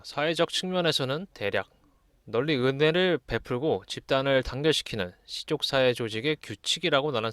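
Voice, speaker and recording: A man giving an explanatory lesson, reading texts aloud and commenting on them in a measured, didactic way. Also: medium pitch, a bit bright, no room sound. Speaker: lecturer.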